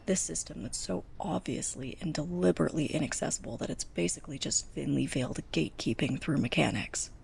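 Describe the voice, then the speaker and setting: A young woman playing a sarcastic, scolding, snobbish librarian's assistant in a close-up ASMR roleplay, breathy and often near a whisper. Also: sits low, fairly quick, hardly any pauses, a little mellow, full character voice. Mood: annoyed, sarcastic. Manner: sarcastic, exasperated, pointed delivery.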